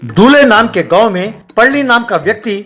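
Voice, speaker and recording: A man's voice: high voice, lively intonation, fairly quick, a bit bright, soft background music. A man giving scripted narration for a comedy story.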